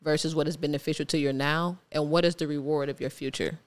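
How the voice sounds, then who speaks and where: A woman's voice: sits low, steady tone, fast talker. An American woman from Ohio chatting casually as an interview guest, informal and reflective. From Ohio, United States. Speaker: podcast guest.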